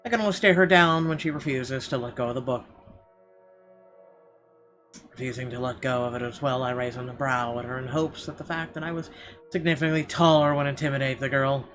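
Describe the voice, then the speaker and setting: A man's voice: mid-range voice, fairly even intonation, brisk, fairly bright tone. A man hosting a playthrough, reading narration aloud and dropping in wry, sweary asides. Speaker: let's play host. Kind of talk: reading game narration aloud with off-the-cuff comments. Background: game music.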